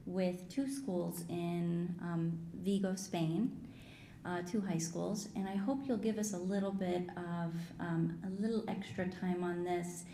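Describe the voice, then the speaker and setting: A woman who teaches high school, giving a measured, explanatory presentation to a public meeting. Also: mellow. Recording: roomy meeting hall, faint rumbling noise.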